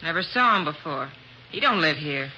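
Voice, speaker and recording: A brusque woman building manager with a lively, bouncing voice, curt and offhand. Her line comes through a dull, hissy old radio recording. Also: low voice, normal speed, full character voice.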